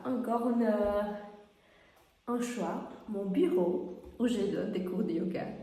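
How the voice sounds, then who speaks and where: Woman, Portuguese native, calm guiding read, slow and mellow, very echoey room. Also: mid-range voice. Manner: gentle, guiding, with soft laughs. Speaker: yoga teacher and wellness columnist. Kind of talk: reading her own column aloud. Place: her home.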